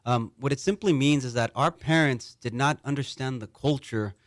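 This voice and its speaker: A man's voice: mid-range voice, normal speed, dry recording, clean studio sound. A man from Mexico, a panel guest, talking earnestly and repeating words as he starts his thoughts. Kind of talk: earnest interview talk.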